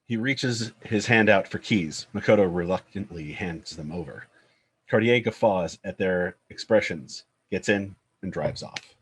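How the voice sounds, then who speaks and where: A man's voice: low voice, measured pace, husky. A man reading screenplay stage directions as scripted narration.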